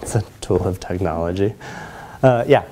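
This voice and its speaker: Male presenter, 30s, low voice, informal lecture Q&A delivery. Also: moderate pace, warm tone, slight background noise. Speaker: presenter, researcher. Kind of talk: informal lecture question-and-answer. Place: lecture room, indoors.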